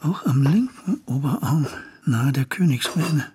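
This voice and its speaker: An actor playing a blunt medical examiner in a period radio drama, terse, clinical and wry. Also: male voice, fairly high pitch, lively intonation, measured pace, crowd noise. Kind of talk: German radio drama acting in period speech.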